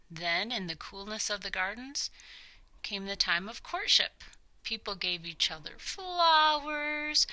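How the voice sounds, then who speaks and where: A woman narrating a children's story expressively, with theatrical, deliberate phrasing. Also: medium pitch, bright, forceful tone, low-quality recording.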